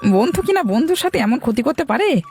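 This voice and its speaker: A man voicing an excitable, kindly fisherman in a cartoon. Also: extremely high pitch, fast talker, vocal fry, soft background music, full character voice. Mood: kindly concern. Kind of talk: cartoon dubbing.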